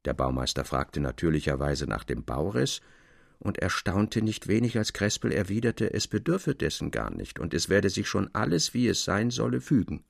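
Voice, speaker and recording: A man narrating a classic literary tale in a measured, articulate way with expressive emphasis. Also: low voice, creaky, dry recording, studio quality.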